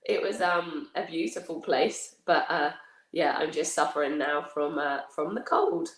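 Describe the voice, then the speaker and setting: A middle-aged woman speaks with a croaky, cold-worn voice that sits a little low. She sounds relaxed and laughs easily as an interview guest. Her audio carries a noticeably roomy echo. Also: unhurried. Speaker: guest, athlete and gym coach. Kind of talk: casual interview chat.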